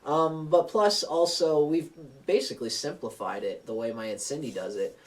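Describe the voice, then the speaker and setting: A young man giving a relaxed guitar lesson, rambling with plenty of fillers and restarts as he talks through chords and keys. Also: fairly high pitch, normal speed, far from the mic. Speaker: guitar teacher. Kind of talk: casual guitar lesson with singing. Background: acoustic guitar.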